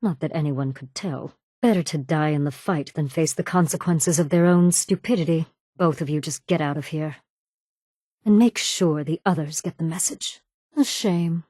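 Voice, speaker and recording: Ruthless raider woman, slightly low pitch, cool commanding delivery, sardonic, video game dialogue, dry studio sound. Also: moderate pace, dark tone, no room sound. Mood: scornful. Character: ruthless female raider gang leader, sardonic and menacing.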